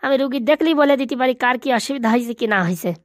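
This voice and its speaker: Woman as a high-pitched, cocksure cartoon doctor. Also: racing, no room sound, studio quality, lightly acted. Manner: boastful, emphatic. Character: brash, cocksure village doctor with comic diagnoses. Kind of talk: comic cartoon voice acting.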